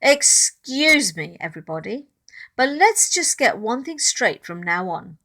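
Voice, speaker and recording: A woman voicing a young schoolgirl who stands up for herself, emphatic and deliberate, in a storybook reading. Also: a little high, very animated, bright, forceful tone, full character voice. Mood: firm, indignant.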